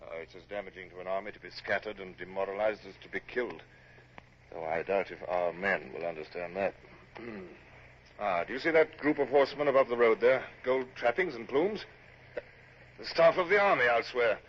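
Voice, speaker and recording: A man's voice: on the low side, animated, fairly quick, natural voice. Man playing British sea captain, clipped, authoritative, humane, formal radio drama delivery, dull and slightly noisy old recording. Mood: grave, troubled. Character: commanding, humane British naval captain, weary of slaughter. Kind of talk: radio drama acting and first-person narration.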